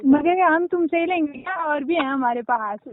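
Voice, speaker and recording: Woman on a phone call, high voice, sly and dismissive, phone-line sound. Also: brisk, very noisy. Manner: evasive, teasing, dismissive. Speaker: prank call receiver.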